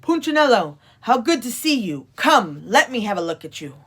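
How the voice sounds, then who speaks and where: A woman voicing a large, kindly bearded male woodcarver in a storybook, speaking gently, slowly and thoughtfully. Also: fairly high pitch, big pitch swings, slightly rough, heavily acted. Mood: welcoming.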